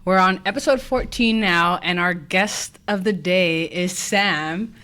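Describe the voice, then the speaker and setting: A woman hosting a podcast, in a casual interview chat, friendly, quick to laugh, with plenty of "um". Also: fairly low pitch, pushed and bright. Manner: friendly, laughs often, many fillers. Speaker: podcast host.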